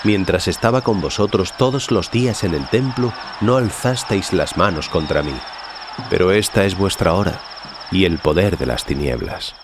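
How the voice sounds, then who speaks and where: Actor as grave, deep-voiced calm holy man. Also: moderate pace, background noise. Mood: solemn, calm. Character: Jesus, calm, solemn man facing arrest and trial. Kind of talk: dramatized scripture reading.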